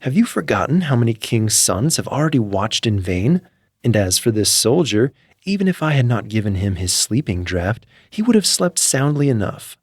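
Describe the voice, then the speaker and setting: A man acts out a scornful eldest princess from a storybook, confident and dismissive. The delivery is lively in pitch, with a slightly husky tone and a dry sound. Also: moderate pace, dry recording.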